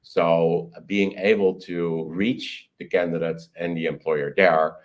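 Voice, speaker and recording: A man's voice: low voice, steady tone, takes it slowly. A man giving conversational interview answers as a company executive, often breaking off and restarting, with an enthusiastic manner.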